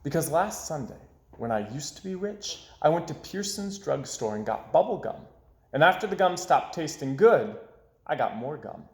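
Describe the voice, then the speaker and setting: A man voicing a dramatic, hard-done-by young boy in an expressive storybook read-aloud, theatrical and emphatic. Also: fairly high pitch, moderate pace, echoey room. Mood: earnest, wistful. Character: young boy, dramatic, aggrieved, forever losing his money.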